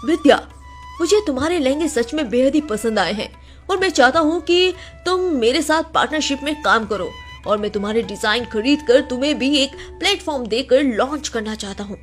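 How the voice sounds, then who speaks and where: A woman's voice: sits high, lively intonation, moderate pace. Admiring male designer, voiced by a woman, kindly, for a cartoon tale with music underneath.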